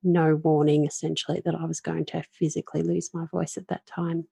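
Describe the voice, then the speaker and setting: Low gravelly woman hosting a podcast, flat and dark, dry close mic. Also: brisk, no echo. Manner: earnest, speaks of her own voice loss. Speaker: podcast host. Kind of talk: reflective podcast conversation.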